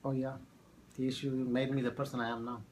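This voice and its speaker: A man in his thirties from Ethiopia, a math teacher answering oral history questions in a halting way with many fillers. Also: mid-range voice, level tone, darkish tone, slight background noise. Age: 30s.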